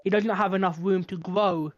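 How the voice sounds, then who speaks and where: A man co-hosting a casual podcast chat, measured and critical, often speaking without contractions. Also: high-pitched, steady tone, highs cut off. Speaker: podcast co-host.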